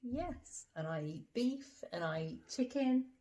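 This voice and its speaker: A woman's voice: on the high side, animated, unhurried, warm tone. A woman playing a dinner guest in a comedy sketch, answering in a patient, matter-of-fact way. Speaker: puppeteer acting a dinner guest.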